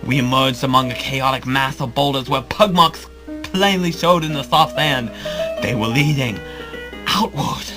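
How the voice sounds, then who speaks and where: A mid-pitched adventurer voiced by a man tells a scripted tale with tense, dramatic delivery. Music plays clearly beneath the narration. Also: measured pace, lightly acted. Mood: tense, suspenseful. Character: tense adventurer telling his tiger-hunting tale.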